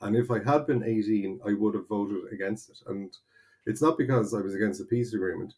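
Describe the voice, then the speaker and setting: An Irish man in his forties talks as an interview guest, low in pitch. He explains at length in an animated way, often restarting his sentences. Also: fairly quick, husky. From Ireland (North County Kildare). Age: 40s. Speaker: guest, translator. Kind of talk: conversational interview.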